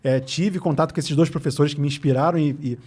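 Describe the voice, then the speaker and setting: A man from Rio de Janeiro with a Carioca accent, a guest on a podcast, telling stories from his school years in a quick, animated way with easy laughs. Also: a little high. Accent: Carioca Portuguese. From Rio de Janeiro, Brazil. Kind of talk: casual podcast conversation. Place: podcast studio.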